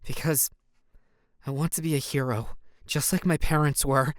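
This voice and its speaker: An actor playing a battered, stubbornly kind young would-be superhero in an audio drama, halting, understated and wry. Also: male voice, on the high side, fairly even intonation, no room sound, clean studio sound, lightly acted. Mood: earnest. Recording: hissy rain noise behind.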